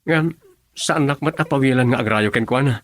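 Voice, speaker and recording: An actor playing an earnest, lovestruck young student in an Ilocano radio drama. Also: male voice, medium pitch, fairly quick, a bit bright, lightly acted.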